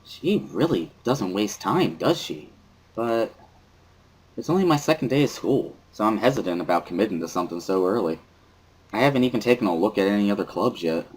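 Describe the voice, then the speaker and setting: A man's voice: on the low side, lively intonation, fairly quick, husky, low-quality recording. A man playing a thoughtful, wry teenage schoolboy who narrates his own story in a visual novel dramatic reading. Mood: hesitant, musing.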